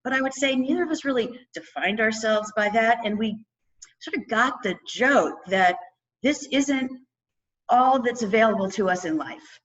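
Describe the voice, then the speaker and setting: A woman hosting a business podcast, energetic and chatty, laughing often as she introduces her guest. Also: on the high side, moderate pace, lo-fi sound. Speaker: podcast host. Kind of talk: upbeat interview podcast hosting.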